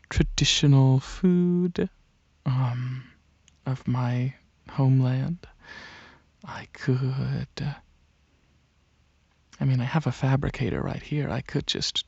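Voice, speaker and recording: A man playing a gentle dragon alien prince in a soft-spoken ASMR roleplay, talking to the listener in a hesitant, soothing way with many pauses. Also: medium pitch, creaky, husky, no room sound, lo-fi sound, heavily acted. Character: gentle dragon alien prince, centuries-old royal researcher, softly coaxing.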